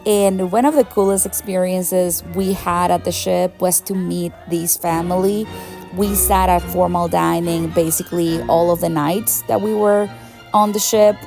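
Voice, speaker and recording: Young woman, from venezuela, slow unhurried delivery, gravelly, upbeat travel vlog chatter, soft music behind. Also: fairly low pitch, fairly even intonation. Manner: chatty, enthusiastic, laughs often. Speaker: travel vlogger. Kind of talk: casual travel vlog voice-over.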